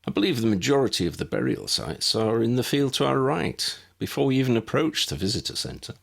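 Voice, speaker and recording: Guy doing old gentleman scholar for an audiobook, fussy n formal, gravelly, lilting up and down. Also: medium pitch, big pitch swings, brisk, pitched above the performer's own voice. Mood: measured, precise. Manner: wordy, formal, precise.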